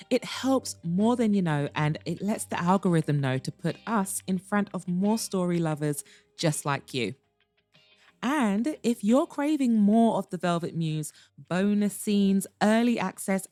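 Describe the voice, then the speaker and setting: A woman hosting and narrating a scripted romance audiobook podcast, with measured, sultry, deliberate phrasing. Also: medium pitch, mellow, no room sound, clean studio sound.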